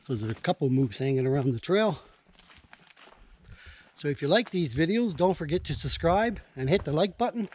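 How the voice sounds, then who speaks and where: Older newfoundland guy, slightly high voice, matter-of-fact, talking to his handheld cam outside. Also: animated, moderate pace, pauses often, a little mellow, husky. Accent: Newfoundland English. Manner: plain, matter-of-fact explaining of chores. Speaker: outdoor vlogger. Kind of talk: casual vlog narration. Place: outdoors by a forest cabin. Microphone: action camera held at arm's length.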